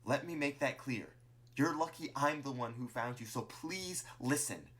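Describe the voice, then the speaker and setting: Man voicing an earnest high-pitched monster woman. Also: a bit bright, natural voice. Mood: urgent warning. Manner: earnest, halting, pleading. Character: earnest female monster, reproachful, pleading, hopeful of peace.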